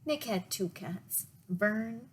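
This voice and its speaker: Slow-paced woman reading a storybook aloud. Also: mid-range voice, expressive, a little hiss or hum. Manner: slow, deliberate phrasing with pauses. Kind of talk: read-aloud storytime with asides to young listeners.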